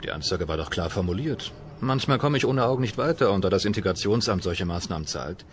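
Casting: blind ex-cop detective for crime audio drama. Low, animated pitch movement, yet dry, curt and sardonic. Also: male voice, a little fast, a little hiss or hum. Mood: dry, guarded. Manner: terse, deadpan, sardonic. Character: dry blind male ex-policeman turned private detective. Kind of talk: German crime radio play acting.

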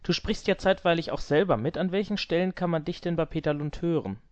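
A man's voice: a little high, a little fast. A man hosting an informal interview and putting questions to his guest. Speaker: interviewer and host. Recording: dull, limited-bandwidth sound.

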